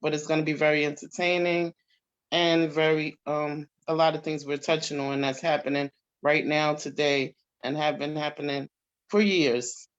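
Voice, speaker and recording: A man's voice: fairly high pitch, little pitch movement, moderate pace, clear, resonant tone. A man talking off the cuff as a cast member in a panel discussion, on a lo-fi recording. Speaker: stage play cast member. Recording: dull, lo-fi sound.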